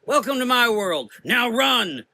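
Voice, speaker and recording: A man voicing a brash, excitable young woman fighter in a gothic audio drama, blunt and slangy. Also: very high voice, unhurried, pitched above the performer's own voice, exaggerated voice. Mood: urgent, shouting.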